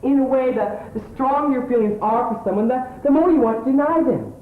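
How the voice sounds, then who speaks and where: A man with an English accent playing a scruffy, earnest, smugly analytical suitor on an amateur stage, repeating words haltingly as he explains. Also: very high voice, expressive. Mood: wounded, insistent. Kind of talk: amateur stage play acting. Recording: tinny old tape, roomy, background hiss.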